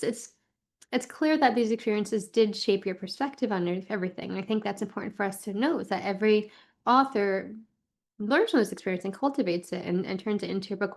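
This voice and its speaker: A woman speaking as a podcast host, presenting and interviewing. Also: mid-range voice. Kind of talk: scripted intro and interviewing.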